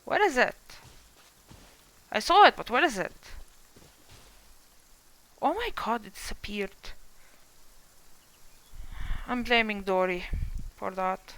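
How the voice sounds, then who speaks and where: A woman's voice: medium pitch, sing-song, slow pace, pauses often, a bit bright, a little hiss or hum, rough recording. A young woman casually commenting while playing a game on her stream, chatty and easily surprised, often trailing off. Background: faint game music.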